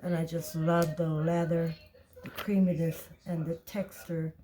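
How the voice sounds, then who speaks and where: A woman with a tired, raspy voice, talking casually through a soap-making tutorial and thinking aloud as she weighs ingredients. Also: low voice, level tone, warm tone. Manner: slow, chatty, thinking aloud while weighing. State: tired voice, clearing throat. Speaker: soap maker.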